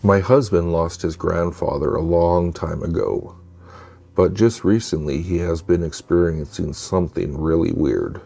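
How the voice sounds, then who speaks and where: Deep, mellow-voiced male storyteller. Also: unhurried, creaky, husky. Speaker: narrator of true scary stories. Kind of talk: scripted storytelling narration.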